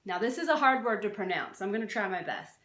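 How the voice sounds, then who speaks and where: A woman's voice: medium pitch, lively intonation, fast, fairly bright tone, slight background noise. A woman reading a non-fiction children's book aloud like a teacher, expressively, stressing key words and adding short explanatory asides. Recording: roomy, echoey sound.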